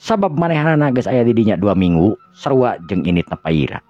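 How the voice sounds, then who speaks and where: A man with a Sundanese accent and a gravelly, husky voice, narrating a tale in the manner of a radio storyteller. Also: on the low side, very animated, a little fast. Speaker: storyteller and channel host. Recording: dull lo-fi recording with music. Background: music.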